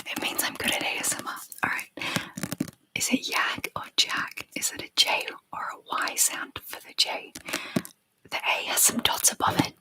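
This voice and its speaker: A woman's voice: normal speed, rough recording. A young woman whispering close to the microphone as an ASMR creator, reading chat in a giggly, unsure way and trying out foreign words.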